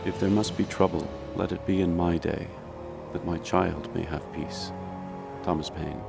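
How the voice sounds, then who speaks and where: A male actor playing a grave criminal profiler, reciting quotations in a measured, gravelly voice-over for a TV drama. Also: deep voice, steady tone, full character voice. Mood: solemn. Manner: measured, solemn. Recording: music under the voice.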